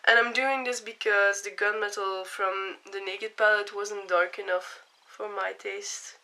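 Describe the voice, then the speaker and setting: A young woman with a cold and a hoarse voice, chatting casually as she explains each step of a makeup tutorial. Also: mid-range voice, steady tone, a little slow, bright, forceful tone, poor audio.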